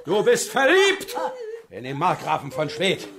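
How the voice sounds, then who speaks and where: A man's voice: on the high side, huge pitch swings, a little slow, a crowd in the background, exaggerated voice. An actor playing a tyrannical, self-pitying king who blusters from scolding to shrieking in a radio play. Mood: raging, shrieking.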